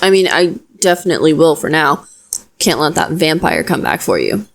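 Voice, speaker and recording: A woman playing a protective, self-deprecating young witch in an audio roleplay, talking softly and reassuringly to the listener. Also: sits low, big pitch swings, normal speed, vocal fry, heavily acted. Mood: shy, warm.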